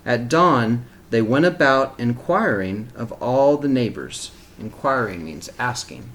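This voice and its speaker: A man with a mid-pitched voice reads a storybook aloud as a teacher would for kindergarteners. He narrates the tale and steps out of it with simple explanatory asides, speaking directly to young listeners. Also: expressive, a little slow, a bit bright, low-quality recording. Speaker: teacher reading a picture book aloud to kindergarteners.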